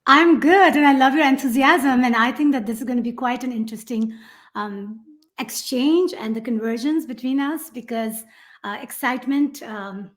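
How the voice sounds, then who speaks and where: A middle-aged woman with a Pakistani accent, a guest on a podcast, telling her story in a rambling, animated way with many fillers. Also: sits high, normal speed. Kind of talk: podcast interview.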